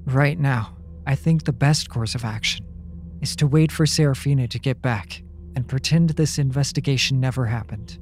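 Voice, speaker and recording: A man's voice: mid-range voice, normal speed, a little mellow, reverberant, big-room sound, close to an everyday voice. A man voicing a wary, anxious teenage schoolboy investigator in a comic dub. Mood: uneasy, defiant. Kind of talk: dramatic comic dub acting with inner monologue.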